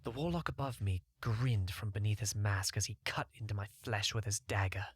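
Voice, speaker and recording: A man's voice: on the low side, normal speed, breathy edge, no room sound. A man narrating a first-person fantasy audiobook in a scripted storytelling style.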